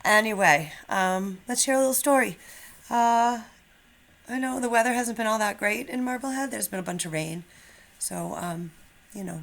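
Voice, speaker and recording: A woman's voice: medium pitch, gravelly. A middle-aged woman speaking as a teacher to young pupils, informal and encouraging, in a children's read-aloud style. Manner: informal, encouraging, slightly nervous. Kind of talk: casual chat and expressive children's storybook read-aloud.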